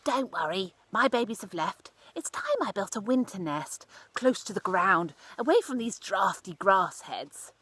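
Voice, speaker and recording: A woman plays a small motherly storybook mouse with a squeaky little voice. She is polite and brisk, giving kindly advice with a swooping pitch. The sound is dry and close. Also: sing-song, fairly bright tone, breathy edge, no echo, exaggerated voice. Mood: reassuring.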